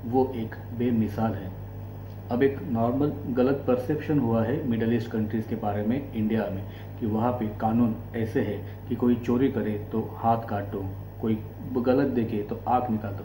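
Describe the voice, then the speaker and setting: An Indian man hosts an explanatory commentary in a mellow, relaxed voice at a middle pitch. He speaks deliberately, in short broken phrases. The room is echoey, with faint noise and hum behind him. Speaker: host of a commentary channel. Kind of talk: explanatory political commentary to camera.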